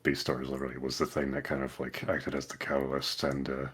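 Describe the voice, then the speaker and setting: A man's voice: rumbling low, monotone, fast, fairly bright tone, husky. A man chatting casually on a podcast in a hesitant way, feeling his way through the story. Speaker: podcast member, amateur voice actor. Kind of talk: casual chat.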